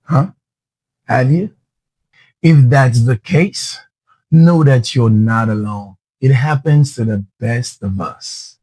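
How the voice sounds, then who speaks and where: A husky-voiced man coaches his listeners in a podcast. He talks earnestly and often restarts his phrases. The sound is dry and close. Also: medium pitch, slow, darkish tone, no room sound, rough recording. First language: Haitian Creole. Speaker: podcast host. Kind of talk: motivational podcast talk.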